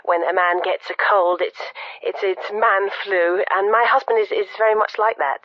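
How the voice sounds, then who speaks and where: An actress playing a flustered, timid wife phoning a radio show, hesitant and repetitive. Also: female voice, mid-range voice, steady tone, moderate pace, dry recording, lightly acted. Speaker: phone-in caller. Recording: dull, dry radio phone-in line.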